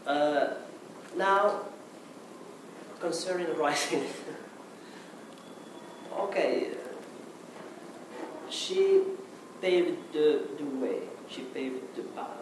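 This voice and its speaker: A man's voice: a little high, big pitch swings, extremely slow, pauses often, heavy reverb. Man with a Moroccan accent, native Arabic speaker, hesitant speech, far from the mic, noisy. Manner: hesitant, many restarts and "okay" fillers. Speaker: conference speaker. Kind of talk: halting conference talk.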